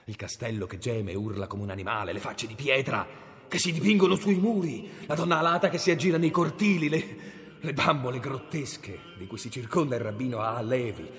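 An actor playing a cold, commanding medieval inquisitor in a radio drama. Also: male voice, medium pitch, fairly quick, big-room sound, faint music behind, natural voice. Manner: commanding, scornful. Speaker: inquisitor Eymerich.

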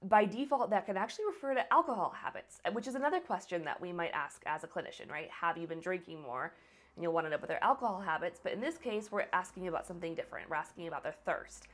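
An American woman in her thirties teaches medical English straight to camera from a small home office, her voice sitting a little low for a woman. She slows right down to model each example question, stressing every word. The sound is close and direct. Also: expressive. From United States. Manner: slow, deliberate modeling of example phrases. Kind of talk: scripted online lesson.

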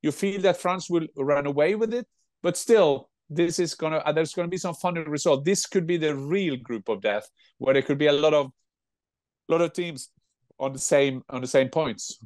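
A man in his sixties with a Norwegian accent, co-hosting a casual football chat from home over a video call, animated and given to restarting his words. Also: on the high side, moderate pace, dry recording. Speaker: football pundit and co-host. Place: home room with football shirts.

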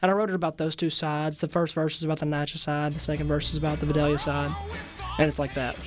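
Raspy teenage boy, polite. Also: on the high side, little pitch movement, over music. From Mississippi-Louisiana border. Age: teens. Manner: polite, halting, many fillers. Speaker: interview guest, singer. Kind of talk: casual interview. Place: radio studio. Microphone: studio mic with headphones. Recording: dry close studio sound.

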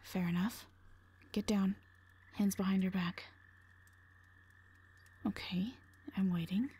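A woman playing a wary, commanding scout in an audio drama, speaking in a firm, hushed, terse way. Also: mid-range voice, steady tone, slow, husky. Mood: threatening, firm. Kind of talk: audio roleplay drama acting.

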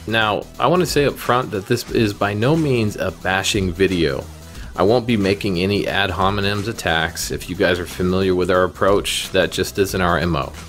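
Low male host, methodical scripted delivery, faint background music. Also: fairly bright tone. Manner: careful, methodical, reads scripture aloud. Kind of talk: measured scripted commentary.

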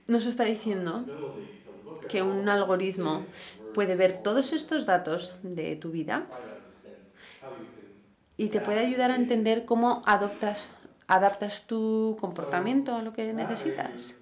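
A man's voice: high-pitched, a little slow, long pauses. A middle-aged man moderating a panel in a large event hall, summarizing each speaker in a conversational way with small fillers, into a handheld mic. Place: large indoor event hall with seated audience.

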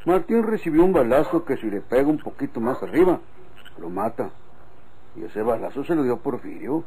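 A man's voice: mid-range voice, very animated, moderate pace, warm tone, a little hiss or hum, close to an everyday voice, poor audio. An actor playing a reasonable, conciliatory rural man with a Mexican accent in an old radio drama. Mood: reasoning.

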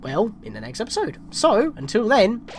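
A woman's voice: mid-range voice, wildly swooping pitch, normal speed, a little mellow, a little hiss or hum. A woman hosting a gameplay video with casual, conversational commentary. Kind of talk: casual let's play commentary. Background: game music.

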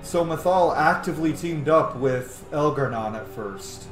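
Man in his thirties, casual let's play, excitable, sweary, roomy home recording. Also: a little high, unhurried, over music. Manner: expressive, excitable, swears freely. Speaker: streamer playing a video game. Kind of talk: casual let's play commentary, reading game text aloud. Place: small indoor room.

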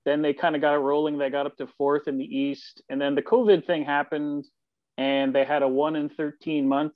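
Male columnist guesting on a sports podcast, conversational, medium pitch, dulled video-call audio. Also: dry recording, muffled recording. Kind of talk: casual sports discussion. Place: indoor home room, video call.

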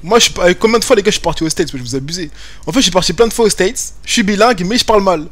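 A man's voice: sits high, racing, a bit bright. A young man streaming a game from home, chatting with viewers in slangy, joking banter. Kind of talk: casual gaming commentary. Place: home room, webcam.

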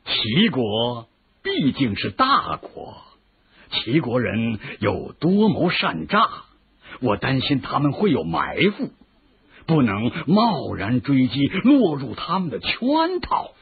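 A gravelly, husky strategist. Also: male voice, medium pitch, huge pitch swings, slow, full character voice. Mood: explaining. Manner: patient, lecturing explanations. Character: shrewd male military strategist, calm and explanatory. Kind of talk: radio drama acting. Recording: tinny phone-like lo-fi audio.

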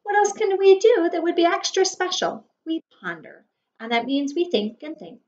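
A woman's voice: very high-pitched, big pitch swings, darkish tone. Middle aged lady teacher doing a storybook read-aloud for little kids, super swoopy intonation, kinda dull webcam sound. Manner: dramatic emphasis, pausing to explain words simply. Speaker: preschool teacher reading aloud. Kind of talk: expressive picture-book read-aloud for young children. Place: indoor room at home. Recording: dull webcam audio with faint noise.

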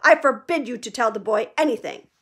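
A woman reading a storybook aloud, voicing a pompous, panicky middle-aged uncle who gives slow, forceful commands. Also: on the high side, animated. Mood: commanding.

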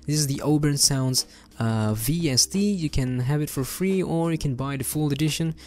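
A young man giving an informal, easygoing and enthusiastic software tutorial, speaking close into a desk microphone. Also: a little high, moderate pace. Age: young adult. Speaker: tutorial host. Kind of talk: casual screen-recorded software tutorial. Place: home bedroom setup indoors. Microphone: close desk microphone on boom arm.

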